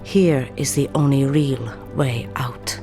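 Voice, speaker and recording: An actress in an audio drama playing a guarded, defiant captive scientist with a terse, dry delivery. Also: female voice, a bass voice, takes it slowly, over music, exaggerated voice. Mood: quietly resolved.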